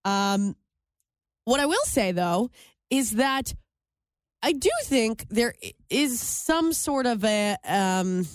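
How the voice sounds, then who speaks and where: A woman's voice: on the high side, lively intonation, takes it slowly, dry recording. Chatty woman radio host, dry studio mic. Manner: chatty, self-deprecating, laughs easily. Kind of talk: casual podcast chat. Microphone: studio microphone.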